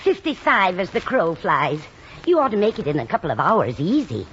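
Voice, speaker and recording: A woman's voice: fairly low pitch, sing-song, normal speed, fairly bright tone. An actress playing a dotty, kindly, chatty older landlady in an old-time radio sitcom, earnest and scatterbrained. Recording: dull, faintly noisy vintage radio recording.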